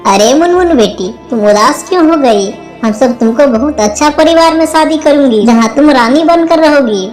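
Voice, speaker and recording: High-pitched earnest female cartoon bird woman acting. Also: lively intonation, moderate pace, darkish tone, faint music behind, low-quality recording. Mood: affectionate. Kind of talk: Hindi cartoon story dialogue.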